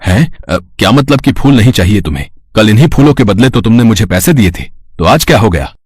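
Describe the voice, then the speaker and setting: A man playing a lazy, greedy village flower seller, theatrical and excitable with a husky voice, in a children's moral story. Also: fairly low pitch, brisk, no room sound, studio quality. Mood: scheming, puzzled. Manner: theatrical, stammering when startled, exclaiming. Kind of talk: animated moral story dialogue.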